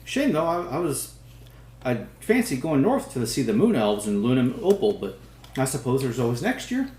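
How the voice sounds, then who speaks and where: A man's voice: medium pitch, sing-song, moderate pace, a little mellow, natural voice. A man voicing an obliging wandering traveler who talks in a hesitant, rambling way, recorded in an echoey room. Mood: wistful.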